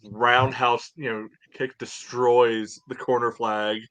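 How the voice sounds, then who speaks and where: A man casually discussing a match as a podcast co-host, in halting, meandering phrases. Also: mid-range voice, little pitch movement, over a noisy crowd. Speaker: soccer fan podcast co-host.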